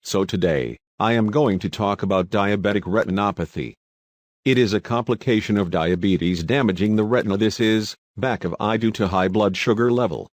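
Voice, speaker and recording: A man's voice: on the low side, normal speed, no room sound. A man from Somalia presenting a scripted educational narration as a health lecturer. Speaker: presenter of an eye health lesson.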